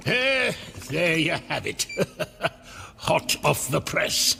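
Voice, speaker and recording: An actor playing a grumbling, proud working-class janitor in a period radio drama. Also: male voice, fairly high pitch, very animated, extremely slow, gravelly, pushed and bright, a little hiss or hum, exaggerated voice. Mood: puzzled, grumbling. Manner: griping, exclaiming, muttering to himself. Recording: music behind the voice.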